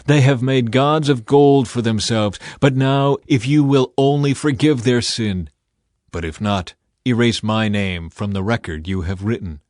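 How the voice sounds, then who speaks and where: A man's voice: medium pitch, a little slow, darkish tone, no echo, lightly acted. An earnest male prophet, played by a male narrator from an audio scripture. He sounds solemn and imploring, recorded dry with no room sound. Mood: earnest pleading.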